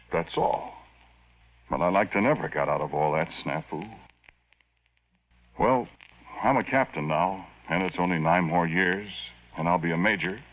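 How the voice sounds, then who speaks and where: Low-voiced earnest young-officer type. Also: male voice. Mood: weary, baffled. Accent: American. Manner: deferential, plain-spoken. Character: earnest, bewildered male Air Force major, a pilot. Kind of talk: 1940s radio acting. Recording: muffled old radio broadcast.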